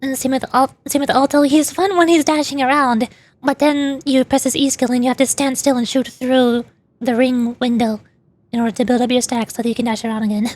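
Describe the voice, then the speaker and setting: A woman's voice: high-pitched, fairly even intonation, a little fast, darkish tone. A woman streamer giving casual, chatty, opinionated gaming commentary in a rambling way. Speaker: VTuber streamer.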